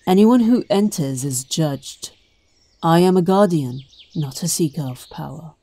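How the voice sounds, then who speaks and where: Woman as wise temple guardian, mellow dark tone, grave, formal, audio drama, slightly off-mic. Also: low voice, animated, unhurried, distant mic. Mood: solemn. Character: solemn, wise female temple guardian warrior.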